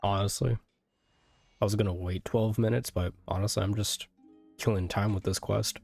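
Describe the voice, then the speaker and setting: A man with a low voice talks casually as he plays on a livestream. He muses half to himself and swears mildly, with faint music behind him. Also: fairly even intonation, normal speed, creaky, darkish tone, no echo. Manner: musing to himself, mild swearing. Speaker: game streamer. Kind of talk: casual stream chat while playing. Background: faint game music.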